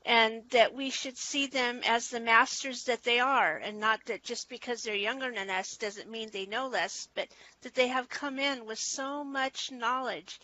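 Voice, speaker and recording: An earnest woman co-host speaks with a high, sharp, bright voice. She talks in a flowing, enthusiastic way. The sound is dry and dull, like lo-fi video call audio. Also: unhurried, hardly any pauses, no room sound. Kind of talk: spiritual talk show chat.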